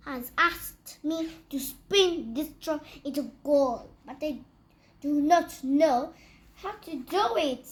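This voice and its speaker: A despairing young miller's daughter from a fairy tale, played by a childlike reader. She speaks high and very slowly, plaintive and halting. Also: lively intonation. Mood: helpless.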